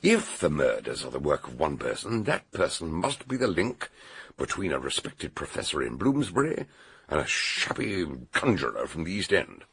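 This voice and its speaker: An older scholarly detective in a radio mystery. His voice is very low and crackles with gravel, swinging widely as he mulls things over, starts hesitantly and repeats himself for emphasis. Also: sing-song, normal speed, a bit bright, exaggerated voice. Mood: emphatic, reasoning. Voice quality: gravelly, husky. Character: older male amateur detective, learned, musing and emphatic. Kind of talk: detective radio drama acting.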